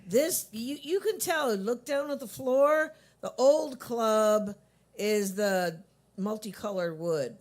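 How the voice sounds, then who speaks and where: A woman's voice: a little high, slow pace, darkish tone. A woman hosting a coffee shop open mic, chatting in a rambling, easygoing way with lots of restarts. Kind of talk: casual chat.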